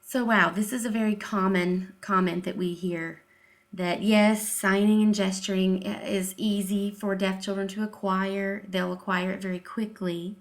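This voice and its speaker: A woman's voice: mid-range voice, flat delivery, measured pace. Female commentator, mid-range voice. Emphatic and informal, with pointed sarcasm and rhetorical questions. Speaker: video commentator, deaf-education advocate.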